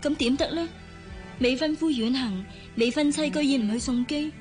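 A woman plays a young Hong Kong Cantonese fiancée in a radio drama. She sounds girlish, devoted and caring, in a fairly high voice with soft music faintly behind her. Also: normal speed, natural voice. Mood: wistful.

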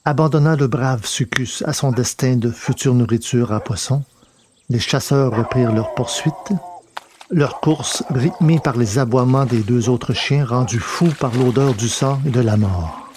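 Male narrator, dramatic audio story, measured literary reading, medium pitch, noticeable background noise.

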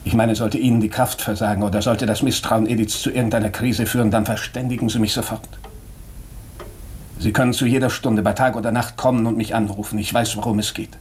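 An actor playing an earnest, probing doctor in a German radio drama, speaking with deliberate, morally insistent urgency. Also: male voice, lowish voice, flat delivery, darkish tone, a little hiss or hum, close to an everyday voice. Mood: grave, calmer.

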